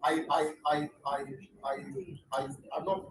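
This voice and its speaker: A middle-aged Nigerian man, a native Yoruba speaker, giving a lecture. He speaks with passion, rises to near shouts and stammers on his restarts. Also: fairly high pitch, steady tone, measured pace. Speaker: guest lecturer. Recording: echoey room, distant mic, faint noise.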